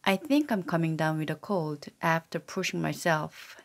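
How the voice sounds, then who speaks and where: A woman's voice: low voice, moderate pace. A woman's AI-generated voice reading sentence drills for a language lesson, evenly and carefully. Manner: even, careful read-aloud of repeated sentences. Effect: AI text-to-speech voice.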